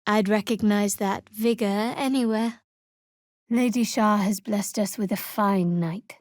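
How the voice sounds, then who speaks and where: A woman voicing a guarded, sardonic young female cleric in a fantasy video game, with a dry, measured, wry delivery. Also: mid-range voice, fairly even intonation, darkish tone, no echo, lightly acted.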